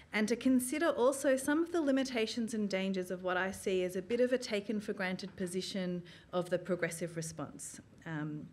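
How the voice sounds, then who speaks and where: A woman in her thirties, an academic, giving a prepared public talk from notes in a small room, measured and earnest, with frequent ums. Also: mid-range voice, a little hiss or hum. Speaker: academic speaker. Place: small gallery room with an audience, indoors. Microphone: stand mic, filmed from the audience.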